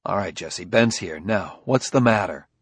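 Man playing a gruff, husky detective father. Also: deep voice, moderate pace, dry recording. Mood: tense, impatient. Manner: curt, commanding, tense. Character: gruff, impatient plainclothes detective father, science fiction audiobook.

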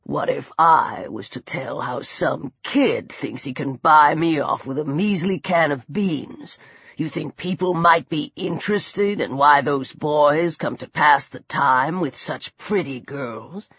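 A woman voicing a gruff, lecherous older male bully in an audiobook, snarling and slowly drawling. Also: sits low, a bit bright, no room sound, muffled recording, pitched below the performer's own voice, exaggerated voice. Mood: lewd, taunting. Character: menacing older bearded man, gruff thug, lecherous bully.

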